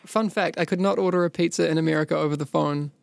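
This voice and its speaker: A man's voice: a little high, fairly even intonation, quick pace, creaky, no room sound. A man with a New Zealand accent hosting a casual podcast chat, joking and laughing often. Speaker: podcast host.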